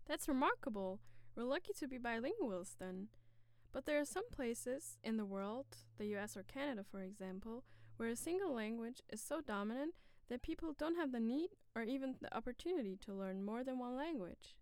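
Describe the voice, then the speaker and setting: A woman's voice: a little high, animated, fairly quick, no room sound. A woman from Germany co-presenting a scripted educational dialogue in an articulate, formal, explanatory way. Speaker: co-presenter in a discussion on bilingualism.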